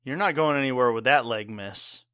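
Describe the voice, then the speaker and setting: A man playing a caring, gruff bartender father and war veteran in an audio drama, earnest, wry and plain-spoken. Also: medium pitch, moderate pace, sharp tone, dry recording, studio quality, close to an everyday voice. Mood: guarded.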